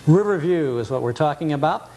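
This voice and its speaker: An American man hosting a casual nostalgia interview on television, often pausing hesitantly as he phrases his questions. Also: fairly high pitch, expressive, warm tone. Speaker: host of a nostalgia TV show. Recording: noisy 1980s cable TV recording.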